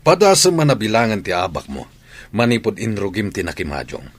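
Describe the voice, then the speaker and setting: A sarcastic middle-aged family man in a radio drama, forever nagging. The voice is fairly low and husky, with lively swings of pitch. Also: a little fast, no room sound. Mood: scolding. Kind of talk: radio drama acting in Ilocano.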